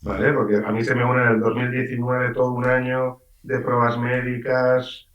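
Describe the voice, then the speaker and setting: Lively Valencian-man, off-mic and roomy. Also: medium pitch, normal speed, distant mic, lo-fi sound. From Valencia region, Spain. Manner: lively storyteller, acts out voices in quotes. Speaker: writer being interviewed. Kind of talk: casual radio interview. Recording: roomy, off-mic radio sound.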